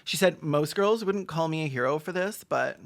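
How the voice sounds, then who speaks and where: A medium-pitched commentator delivers sarcastic film commentary. The manner is campy and mocking, with dry asides played for comedy. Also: moderate pace, creaky. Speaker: video essayist, film commentator.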